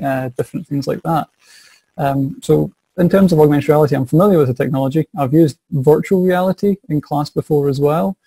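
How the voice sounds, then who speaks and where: Scottish young man, teacher, mellow voice, dry sound, eager and repetitive, webcam call. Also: mid-range voice, husky, dry recording. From Scotland. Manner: eager, repeats words as he thinks. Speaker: science and maths teacher. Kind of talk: casual interview answers. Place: small home office. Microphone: video call webcam.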